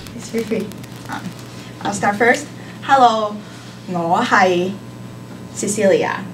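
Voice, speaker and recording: A young Cantonese speaker from leads a beginner class in English. She talks at a mid pitch, hesitating often with ums and soft laughs. The classroom is echoey and noisy, and she sounds well away from the mic. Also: slow. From Hong Kong. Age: young adult. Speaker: volunteer language teacher. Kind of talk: live classroom lesson. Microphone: far camera. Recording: echoey room from far off, noisy.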